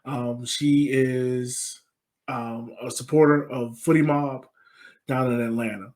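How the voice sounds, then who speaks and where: A man's voice: mid-range voice, fairly even intonation, slow, a little mellow, no room sound. A man hosting a casual podcast interview, talking informally and thinking aloud as he frames his questions. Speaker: podcast host.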